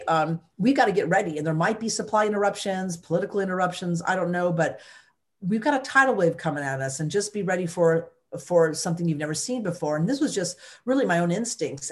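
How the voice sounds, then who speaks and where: Energetic woman, former mayor, lowish voice. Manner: energetic, emphatic, many restarts. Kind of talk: interview storytelling.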